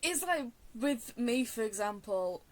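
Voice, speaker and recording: Rambling young woman, slightly high voice. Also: animated, a little slow. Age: young adult. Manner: chatty, digressive, self-deprecating. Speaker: game streamer. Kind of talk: casual rambling game commentary.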